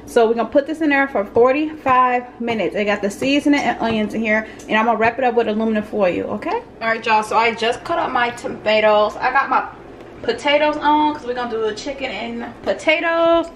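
A young woman talks her viewers through a recipe in her home kitchen, her voice sitting a bit high. She keeps it relaxed and chatty, tossing in y'all every few lines. A faint household hum runs underneath. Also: fairly quick. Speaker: cooking vlogger. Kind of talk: casual cooking chat. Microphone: camera on tripod and phone.

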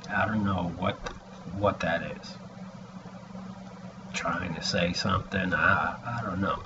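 A man in his forties with a gravelly voice, giving a halting, rambling personal message to a webcam at home. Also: deep voice, steady tone. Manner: halting, hesitant, with long pauses. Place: small room indoors at home. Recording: dull lo-fi webcam audio, background noise.

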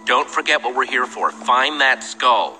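A man's voice: medium pitch, animated, a little slow, sharp tone, big-room sound, soft background music. An actor playing a pragmatic, wry young male adventurer and leader in a video game. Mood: uneasy, urgent.

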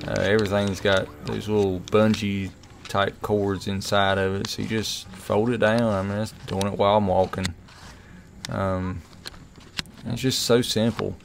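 A middle-aged man giving a relaxed, rambling product review, full of fillers and restarts. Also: fairly low pitch, measured pace, creaky, noisy background. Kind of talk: casual voice-over review. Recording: music playing underneath.

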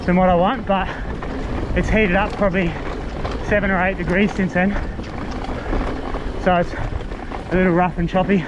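High-voiced man, muffled and windy. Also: little pitch movement, normal speed, pauses often. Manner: laid-back, fragmented musing while riding. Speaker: mountain bike vlogger. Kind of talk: casual ride-along gear commentary. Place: outdoors on a bushland trail, riding a bike. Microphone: action camera worn while riding. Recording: muffled action-camera audio, loud wind and trail noise.

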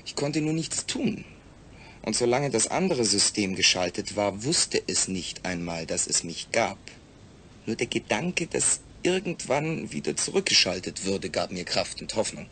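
A man acting in a German audio drama as a calm, rational astronaut, speaking in a measured, explanatory way. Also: fairly low pitch, a little hiss or hum, full character voice. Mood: earnest, explaining.

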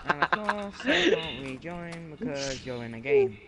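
A man's voice: sits high, wildly swooping pitch, crowd noise. A teenage boy from Britain with a British accent, arguing and joking with friends over a headset during a shooter game. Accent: British English. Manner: argumentative, quick to protest. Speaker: gamer friend. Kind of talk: casual game chat. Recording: gunfire from the game clearly behind.

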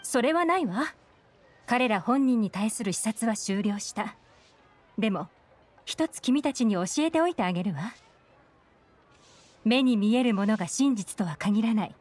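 A woman's voice: a little high, expressive, unhurried, pauses often, darkish tone, slight background noise. A woman voicing a cool, commanding young female intelligence chief in a game, composed and self-assured. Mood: knowing, assured. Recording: background music under the voice.

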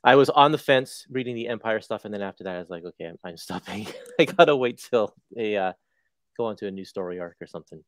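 Easygoing low-voiced man, dry sound. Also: animated, fast, no echo. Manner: easygoing, laughs often. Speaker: guest panelist. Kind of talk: casual panel chat. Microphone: video call.

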